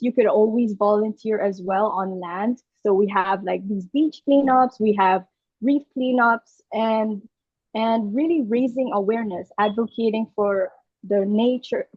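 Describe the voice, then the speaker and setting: A young woman with a Filipino accent and a fairly high voice talks earnestly as a podcast guest. She lays out her points step by step and sometimes restarts a phrase. The sound is dry and dull, like lo-fi call audio. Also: no room sound. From Aruba. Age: young adult. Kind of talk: conversational interview.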